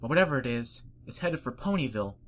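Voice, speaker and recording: Female unicorn leader acted by a narrator: raised pitch, earnest, sensible, take-charge, storybook. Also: animated, fast talker, slight background noise, close to an everyday voice. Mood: urgent.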